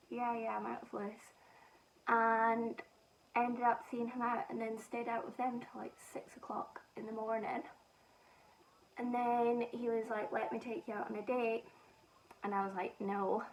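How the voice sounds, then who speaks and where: A young woman from Scotland with a Scottish accent softened by a northern English lilt, chatting casually and self-mockingly while doing her makeup. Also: on the high side, far from the mic, slight background noise, rough recording. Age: young adult. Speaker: beauty vlogger.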